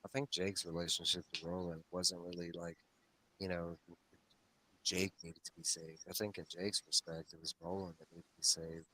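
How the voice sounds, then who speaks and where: A man's voice: sits low, level tone, normal speed, pushed and bright, a little hiss or hum, low-quality recording. A man talking casually on a discussion show, enthusiastic and meandering, often circling back on his phrases as he explains. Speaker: host of a comics read-along discussion show.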